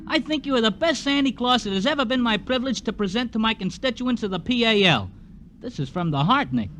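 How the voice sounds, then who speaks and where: A man's voice: very high-pitched, expressive, quick pace, a bit bright. An actor playing a cocky, streetwise New boy in a 1950s radio drama, wisecracking with mock-formal big words. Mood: wry, approving. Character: cocky streetwise boy, child president of a club. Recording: noisy old radio recording.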